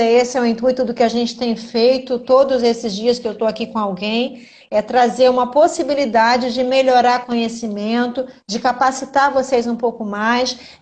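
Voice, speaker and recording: Measured woman hosting a chat. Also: fairly high pitch, a little mellow. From Brazil. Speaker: host, instructor. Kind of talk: live chat with audience.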